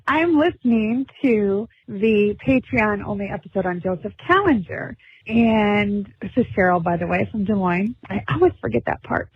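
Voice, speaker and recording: A woman leaving an excited, bubbly voicemail as a fan of the show. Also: fairly high pitch, husky, dry recording, dull audio. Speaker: voicemail caller. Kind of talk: recorded phone voicemail.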